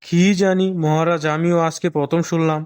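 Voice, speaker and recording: A man playing a deferential royal attendant in an animated fairy tale. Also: a little high, moderate pace, clear, resonant tone, close to an everyday voice. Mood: puzzled. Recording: faint music behind.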